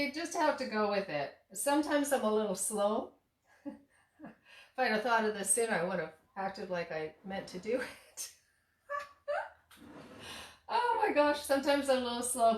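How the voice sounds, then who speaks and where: A woman chatting casually while cooking at home, rambling with many fillers and restarts, her voice slightly hoarse. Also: a little high, animated, normal speed, long pauses, echoey room. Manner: laughs at herself. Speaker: tea shop owner and cooking vlogger. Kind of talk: casual kitchen demo chat. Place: home kitchen.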